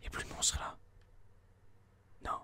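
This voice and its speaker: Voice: a little slow, bright, forceful tone, slight background noise. A person whispering informal, hesitant gameplay commentary in an ASMR style, thinking aloud close to a binaural microphone. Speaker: video game streamer, ASMR host.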